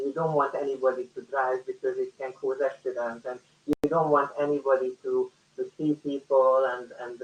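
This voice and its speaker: A man speaking earnestly in an unscripted discussion, halting and repeating his words as he goes. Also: medium pitch. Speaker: panel speaker. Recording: echoey, dull, distant-microphone call audio.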